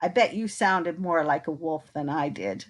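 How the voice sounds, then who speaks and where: A woman reading a children's picture book aloud in an expressive, unhurried way, pausing to put inviting questions to young listeners. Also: sits low.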